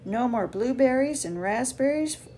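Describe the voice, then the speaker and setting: A woman reading a children's picture book aloud with expressive, deliberate phrasing for young listeners. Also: on the high side, a little hiss or hum. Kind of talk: read-aloud children's storytelling.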